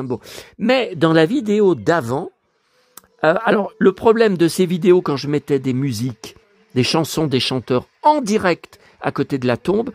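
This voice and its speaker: A hoarse middle-aged man talks in a fairly high voice, rambling from one thought to the next and repeating his words. He stammers and breaks into emotional asides. The sound is dry and close, as if spoken into a phone. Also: no room sound. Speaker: YouTuber. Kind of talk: casual rambling vlog chat.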